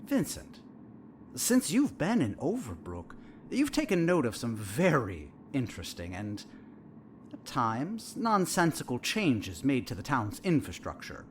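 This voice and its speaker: A man playing a sinister, smug therapist in a horror audio drama, measured and theatrical, with a falsely soothing, condescending manner. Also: medium pitch, huge pitch swings, slight background noise.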